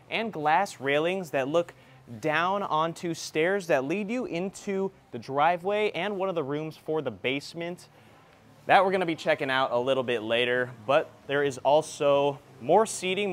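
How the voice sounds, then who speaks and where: A man's voice: on the high side, fairly bright tone. A man hosting a casual walk-through property tour as a real estate agent, speaking in an enthusiastic, informal, salesy way.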